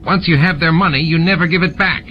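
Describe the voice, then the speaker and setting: A man voicing an excitable, scheming young alien boy in an audiobook, eager and emphatic. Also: a little high, fast, voice pushed up, lightly acted. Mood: bold bluffing. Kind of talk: audiobook character voice. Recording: muffled, with clear background noise.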